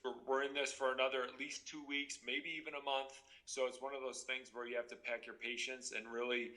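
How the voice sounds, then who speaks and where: A man who serves as a state trooper explains procedures in an interview. His voice is medium in pitch with a bright, forceful edge, and he talks in an unscripted, explanatory way. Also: flat delivery, fairly quick, low-quality recording.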